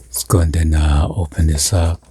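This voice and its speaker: A man giving halting, murmured ASMR commentary as he hosts an unboxing, with short item-by-item remarks. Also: very deep voice, fairly even intonation, background noise. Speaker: unboxing video host.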